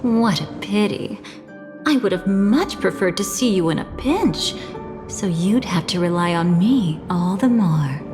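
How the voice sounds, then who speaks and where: Woman playing a slow, teasing, enigmatic woman. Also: medium pitch, expressive, close to an everyday voice. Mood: playful, teasing. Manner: teasing, unhurried, composed. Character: enigmatic, teasing woman with a calm taste for danger. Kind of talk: video game cutscene voice acting. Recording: long echoing reverb, game music behind.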